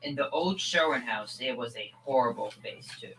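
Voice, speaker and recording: A woman's voice: very deep voice, expressive, moderate pace, fairly bright tone, low-quality recording. A young performer playing an earnest, gullible thirteen-year-old boy in a school old-time radio comedy. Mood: earnest, uneasy. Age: young teen.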